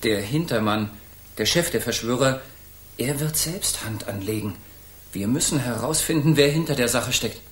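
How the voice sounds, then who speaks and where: An actor playing a stern, anxious knight captain in a radio drama, speaking in formal, archaic courtly language. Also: male voice, medium pitch, animated, moderate pace, a bit bright, reverberant, natural voice. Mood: grave, suspicious. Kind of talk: German children's radio drama acting.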